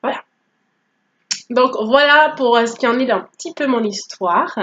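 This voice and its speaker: A woman's voice: fairly high pitch, a little slow, fairly bright tone, muffled recording, a little hiss or hum. A woman in her thirties from Guadeloupe, whose first language is English, telling her story in a playful, joking vlog. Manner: playful, joking, code-switching. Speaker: vlogger. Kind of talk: casual testimony vlog.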